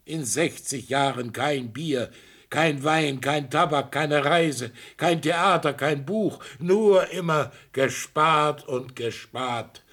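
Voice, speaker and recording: An actor playing a proud, stubborn old art collector, speaking with fervent, boastful enthusiasm in a radio drama. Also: male voice, fairly high pitch, takes it slowly, a bit bright. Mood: impassioned. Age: older.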